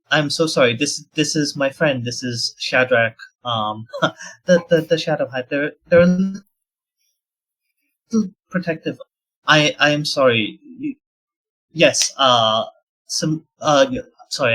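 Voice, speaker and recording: A man's voice: a little high, a bit bright, rough recording. A man voicing a nervous young man who stammers and keeps apologizing.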